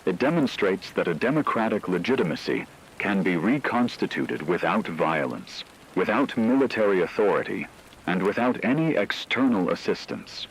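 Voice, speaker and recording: A man playing a grave radio news broadcaster, reading a scripted bulletin in a solemn, measured and formal way. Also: sits low, expressive, slight background noise, poor audio. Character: grave male radio broadcaster reporting societal collapse.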